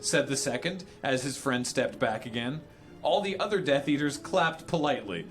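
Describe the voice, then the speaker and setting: A man reading an absurd story aloud theatrically for comedy, prone to breaking up as he goes. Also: a little high. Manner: theatrical, often breaking into laughter. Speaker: reader on a charity stream.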